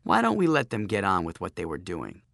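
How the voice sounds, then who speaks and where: A man reads as a self-possessed young village girl in a storybook. She is calm and matter-of-fact, with a light mocking edge. The delivery is lively and the sound is dry. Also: deep voice, a little fast, vocal fry, no room sound, pitched below the performer's own voice, full character voice.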